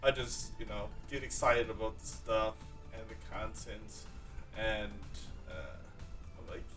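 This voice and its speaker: A man's voice: fairly low pitch, steady tone, measured pace, recorded from a distance, over music. A man hosting a podcast in a casual, rambling conversational way, often repeating his phrases. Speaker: podcast host. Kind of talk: casual conversational interview talk.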